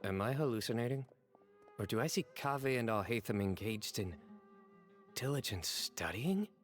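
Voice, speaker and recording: Guy voicing serious young anime game officer, dry n formal, measured delivery, bit of music behind. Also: medium pitch, animated, lightly acted. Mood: deadpan disbelief. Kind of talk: anime video game voice acting.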